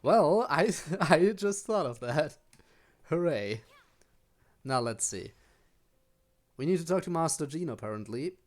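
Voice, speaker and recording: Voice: mid-range voice, lively intonation, unhurried, pauses often. A game streamer chatting casually to viewers while playing, wandering off into rambling asides.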